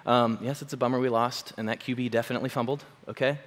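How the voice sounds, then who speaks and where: A man, a pastor, giving a chatty Sunday sermon with a slightly hoarse voice and many fillers. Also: mid-range voice, steady tone, quick pace. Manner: chatty, self-deprecating, full of fillers. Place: church hall. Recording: long hall reverb.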